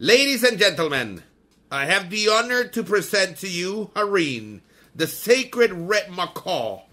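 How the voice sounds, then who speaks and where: A man's voice: high voice, sing-song, unhurried, pushed and bright, a little hiss or hum, heavily acted. An actor playing a mysterious, grandiose male showman mystic in a 1930s-style radio drama. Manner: grandiose, ceremonial, oily.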